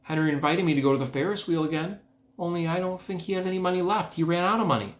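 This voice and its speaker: A man voicing an eager, excitable young farm girl from a storybook, pleading and keen. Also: sits high, fast talker, voice pushed up, natural voice. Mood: excited, pleading. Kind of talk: storybook character voice in a read-aloud. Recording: echoey room.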